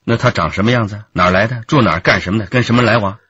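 Shrewd, husky police inspector. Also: male voice, fairly low pitch, racing, fairly bright tone, no echo, dull audio. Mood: probing. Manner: probing, insistent, wry. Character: shrewd, authoritative male police detective inspector. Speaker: actor in a police radio drama. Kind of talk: Chinese radio drama acting.

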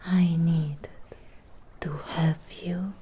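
A woman voicing a lonely, possessive female Frankenstein creature in a close-up ASMR roleplay, whispering in breathy, halting, intimate phrases. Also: fairly low pitch, steady tone, dark tone, full character voice. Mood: pleading, possessive. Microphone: close microphone. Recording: close-up with clear background noise.

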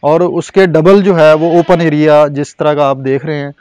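A man in his thirties with a Pakistani Urdu accent, calmly explaining goat breeding and care at an outdoor goat farm, with frequent fillers. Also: fairly high pitch. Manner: measured and informative, with frequent fillers. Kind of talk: explanatory on-camera interview. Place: outdoors at a covered goat shed.

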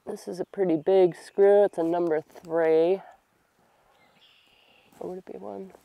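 A young woman talking casually to herself while she works at a grassy campsite, slow and thinking aloud, a little congested from a long cold. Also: on the low side, steady tone, gravelly, mellow, no room sound. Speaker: bushcraft vlogger. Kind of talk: casual talk while working. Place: outdoors at a grassy campsite under a tarp. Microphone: camera on a tripod a few meters away.

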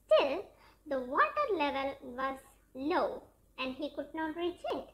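A very high, child-like voice tells children's fairy tales with expressive, sing-song phrasing. The delivery swings dramatically up and down, bright and clearly projected, at an unhurried pace. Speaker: children's storyteller. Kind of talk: scripted fairy tale and fable narration for children.

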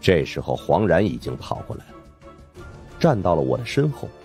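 Casting: male suspense audiobook narrator, deep pitch, dramatic lively intonation, soft music bed. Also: normal speed. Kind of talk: dramatic scripted narration of supernatural suspense fiction.

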